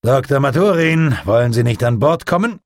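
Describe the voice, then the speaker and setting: A man's voice: lowish voice, big pitch swings, normal speed, slightly rough, no echo, studio quality. A man voicing a commanding, sardonic navy captain in an audiobook, with an authoritative manner. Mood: formal, commanding.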